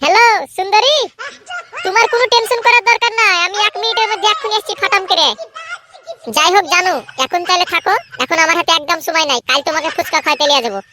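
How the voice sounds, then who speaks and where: A woman's voice: very high-pitched, quick pace, very noisy. A woman voicing a cheeky, boastful young male troublemaker in a comedy cartoon, with a brash, cocky manner. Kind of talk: cartoon voice acting.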